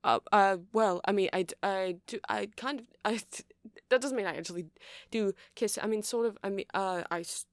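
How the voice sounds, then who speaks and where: A woman's voice: mid-range voice, normal speed, hardly any pauses, no room sound, clean studio sound, lightly acted. A woman playing an awkward, flustered male vampire who runs a music shop, stammering and rambling in an intimate ASMR roleplay. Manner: hesitant, stammering, rambling, apologetic.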